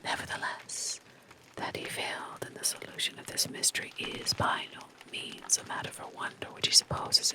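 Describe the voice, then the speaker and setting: A reader voicing a shrewd, sardonic male detective in a soft whispered storybook reading. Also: mid-range voice, close to an everyday voice, low-quality recording. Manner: whispered, unhurried and dry.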